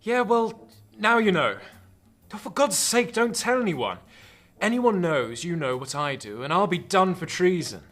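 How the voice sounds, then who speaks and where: An actor playing a flustered, secretive boyfriend who works in intelligence, stammering and evasive, in a radio drama. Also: male voice, sits high, very animated, unhurried, a bit bright, full character voice. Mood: anxious, pleading. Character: flustered, secretive boyfriend, a male MI5 computer agent.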